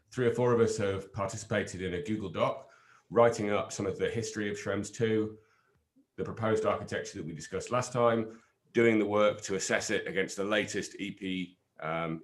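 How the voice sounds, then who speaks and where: A man leading an online panel discussion, explaining things in a measured way with the odd filler. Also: fairly low pitch. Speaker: panel lead presenter.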